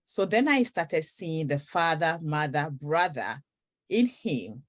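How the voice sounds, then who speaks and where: A woman's voice: deep voice, sing-song, slow. A woman author from Ghana, telling her story earnestly in a faith interview. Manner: earnest storytelling, breaks into song.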